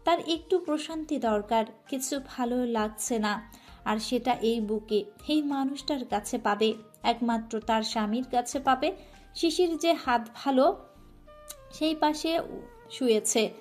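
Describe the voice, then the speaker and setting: A woman's voice: fairly high pitch, unhurried, a little mellow, soft background music. Woman narrating a romantic story.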